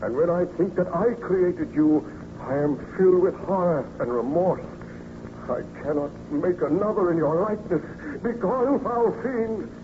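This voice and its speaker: An actor playing a tormented, guilt-ridden scientist in an old-time radio drama, melodramatic and formal. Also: male voice, high-pitched, expressive, measured pace. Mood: horrified. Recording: muffled, noisy old radio recording.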